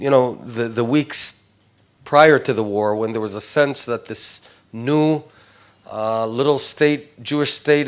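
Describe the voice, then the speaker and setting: Israeli man in his 40s, english isn't his first language, lots of uhs and restarts, mid pitch. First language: Hebrew. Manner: hesitant, many fillers and restarts. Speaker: speaker addressing visiting group. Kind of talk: informal personal talk.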